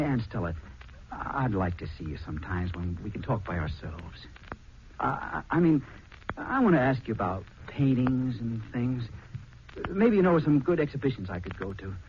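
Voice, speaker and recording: An actor playing a shy, artistic teenage nephew who stammers hesitantly and boyishly in a 1940s radio drama. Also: male voice, mid-range voice. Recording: dull, noisy 1940s radio recording.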